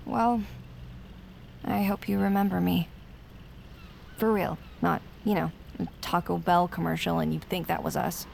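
An actress playing a curious, wry young punk-band musician in a naturalistic audio drama, with casual, hesitant delivery. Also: female voice, fairly low pitch, steady tone, unhurried, vocal fry, long pauses, darkish tone, slight background noise. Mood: wistful, wry.

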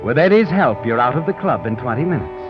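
An actor playing a needling, sardonic crime-tale narrator in dramatic 1940s radio suspense style. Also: male voice, mid-range voice, very animated, fast talker, natural voice. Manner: dramatic second-person taunting. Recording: muffled old radio recording over music.

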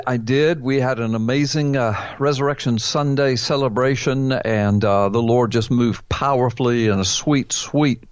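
Guy on a radio interview, earnest and lively, keeps repeating himself, dull dry line. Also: mid-range voice, no room sound. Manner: animated, repeats words, folksy asides. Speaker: pastor and author guest.

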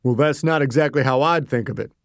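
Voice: medium pitch, fairly quick, no echo, clean studio sound, lightly acted. A narrator voicing a protective, stubborn man who talks bluntly and steadily. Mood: wary.

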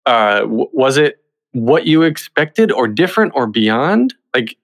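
A man's voice: mid-range voice, animated, unhurried, vocal fry. A man chatting casually in an interview about creative work, in a conversational way. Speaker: musical theatre creative.

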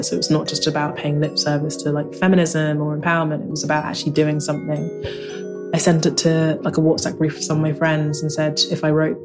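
A young woman, born in Nigeria, talking reflectively in an interview and leaning on fillers like "kind of". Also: low-pitched. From Nigeria, raised in South London. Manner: relaxed, many fillers like "kind of". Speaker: interviewee, writer.

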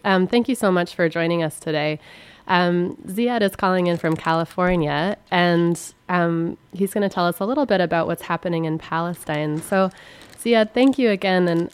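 A woman's voice: lowish voice, clean tone. A woman co-hosting a radio show who introduces a guest on the line, in a friendly and hesitant way with many ums. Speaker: radio co-host. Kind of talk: radio interview.

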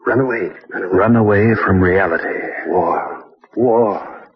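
An actor playing a brooding, guilt-haunted male chemist in an old-time radio horror drama, speaking in halting, repetitive monologue. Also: lowish voice, vocal fry, lo-fi sound. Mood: haunted, desperate.

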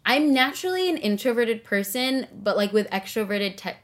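A woman's voice: a little high, lively intonation, normal speed. A young woman talking casually and earnestly as a podcast guest, with many fillers. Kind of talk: casual interview conversation.

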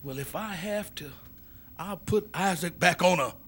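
An actor playing a brusque, skeptical racehorse owner in an old-time radio play. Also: male voice, high voice, a little slow, a bit bright, slightly rough, heavily acted. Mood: grudging. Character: gruff skeptical middle-aged male racehorse owner. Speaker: horse owner. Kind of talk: 1940s radio acting.

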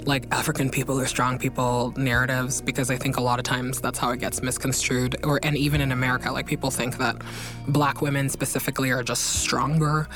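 A woman's voice: extremely low pitch, flat delivery, brisk. Woman as interview guest, candid and informal, leaning on repetition for emphasis, music playing underneath. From Guinea and Belgium. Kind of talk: candid casual conversation.

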